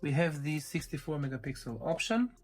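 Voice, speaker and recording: A man's voice: a little high, expressive, fairly quick, soft background music. A man giving an informal, step-by-step tech review commentary as he handles a device. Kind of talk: casual unboxing walkthrough commentary.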